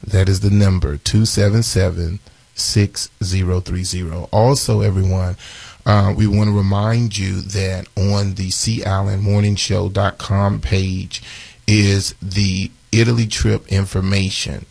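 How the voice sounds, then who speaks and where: A man in his forties with a raspy voice, hosting a live morning radio show and chatting through announcements with lots of fillers and restarts. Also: low-pitched, little pitch movement, unhurried, a little mellow, no room sound. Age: 40s. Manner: chatty, repeats phone numbers, many fillers and restarts.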